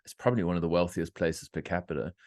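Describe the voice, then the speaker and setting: A middle-aged man from South Africa hosting a podcast, talking in opinionated, animated rants with laughter. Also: low voice, fast talker, no echo, studio quality. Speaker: podcast host. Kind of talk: casual interview chat.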